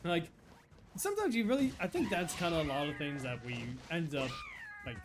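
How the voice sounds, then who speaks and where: Male game streamer, 30s, high-ish animated voice, chatty and earnest, faint music under. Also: fairly quick, slight background noise. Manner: rambling, earnest, with restarts and chuckles. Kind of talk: casual live-stream chat.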